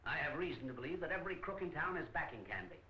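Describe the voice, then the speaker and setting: An actor playing an earnest, urgent judge and civic reformer in a 1940s film serial. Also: male voice, fairly high pitch, big pitch swings, racing, bright, forceful tone. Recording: roomy, faintly noisy old film soundtrack.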